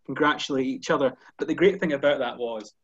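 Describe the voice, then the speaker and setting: A man's voice: medium pitch, a bit bright, husky, no room sound, dull audio. Scottish man on a dry video call. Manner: fluent, measured, many "you know" fillers. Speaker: golf journalist. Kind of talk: casual video-call chat. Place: indoor room with curtains behind. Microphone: headphones on a video call.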